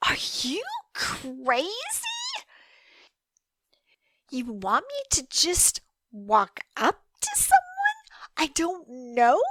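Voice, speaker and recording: Yandere girlfriend type, young adult played by a woman, audio roleplay; very slow, animated delivery, coy, obsessive and fragile. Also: high voice, no room sound, exaggerated voice. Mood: defensive, upset. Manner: coy, halting, breathy hushed asides, emotional swells. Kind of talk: audio roleplay monologue, intimate dramatic acting.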